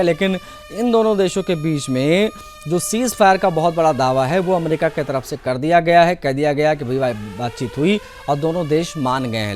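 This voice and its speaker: A man's voice: fairly high pitch, darkish tone, slight background noise. A man presenting television news with commentary, in the role of anchor.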